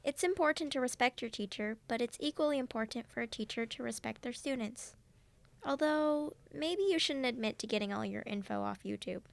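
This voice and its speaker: A woman's voice: on the high side, brisk, vocal fry, dry recording. A woman playing an easygoing, supportive student friend in an audio drama, talking in a casual, encouraging way.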